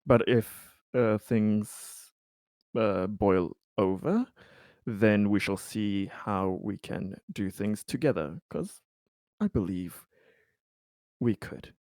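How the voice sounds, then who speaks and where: Man playing a slow, guarded colony businessman. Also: lowish voice, darkish tone, no echo, studio quality. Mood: measured, guarded. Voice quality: halting, deliberate. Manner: measured, formal negotiator. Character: cautious, diplomatic male colony businessman with secret interests. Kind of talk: improvised tabletop roleplay.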